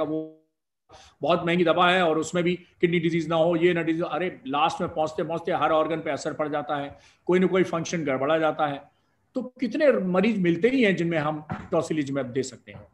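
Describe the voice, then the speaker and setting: A middle-aged man from India, a doctor and professor of respiratory medicine, explaining medical advice as a guest in a live discussion with emphatic, argumentative exclamations. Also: a little high.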